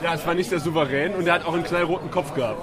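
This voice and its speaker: Man, co-host, quick high voice, dry, crowd noise. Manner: brisk, moderating, dry remarks. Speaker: podcast co-host. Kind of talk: casual live podcast chat. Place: pub with a crowd. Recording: crowd chatter in a pub.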